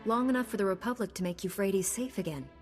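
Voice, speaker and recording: A woman's voice: mid-range voice, quick pace, faint music behind, natural voice. A woman playing a resolute, heroic Jedi knight in a sci-fi video game, firm and composed. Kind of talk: dramatic video game acting.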